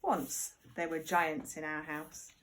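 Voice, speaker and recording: A woman reading a picture book aloud to young children like a primary teacher, expressive and deliberate, with chatty asides. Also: low-pitched, slight background noise. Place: at home.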